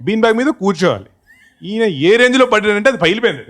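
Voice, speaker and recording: Higher-pitched Telugu man telling anecdotes. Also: sing-song, very fast, fairly bright tone. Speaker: film team member being interviewed. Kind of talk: casual group interview, telling anecdotes.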